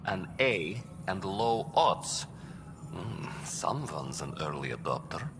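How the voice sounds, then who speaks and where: A man playing a dry, officious bureaucrat in a video game, speaking formally with a deadpan, wry delivery. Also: low-pitched, slow, noisy background, exaggerated voice. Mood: wry surprise. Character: dry, officious male bureaucrat managing cryo deployments. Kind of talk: video game character dialogue. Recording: reverberant hall, clear background ambience.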